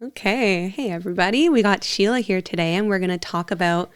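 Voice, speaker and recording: A woman co-hosts in a casual conversational style. Her voice is clear and smooth, at a medium pitch. She phrases things informally. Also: a little fast, soft background music. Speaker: podcast co-host. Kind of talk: casual chat.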